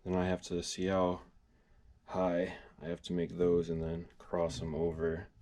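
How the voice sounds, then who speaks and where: A man's voice: low-pitched, completely flat delivery, a little slow, mellow. A man casually walking through a do-it-yourself project in a plainspoken, explanatory way. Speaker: DIY car vlog host. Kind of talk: casual project walkthrough with voice-over.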